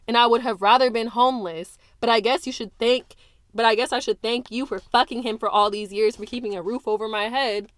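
A woman voicing a hurt teenage girl who shouts bitterly at her mother through tears. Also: a little high, lively intonation, moderate pace, no echo, pitched above the performer's own voice. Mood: furious.

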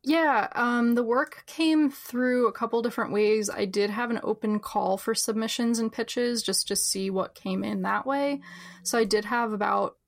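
Creaky-voiced New woman. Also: fairly high pitch, moderate pace, dry recording, clean studio sound. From New Jersey. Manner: chatty, many fillers and laughs. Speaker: book editor, podcast guest. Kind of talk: casual interview talk.

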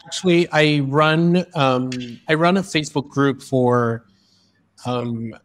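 A man's voice: a little high, takes it slowly, a little hiss or hum. A man hosting a podcast interview, talking earnestly in long, flowing sentences with frequent restarts. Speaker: podcast host. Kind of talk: conversational interview. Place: in Spain.

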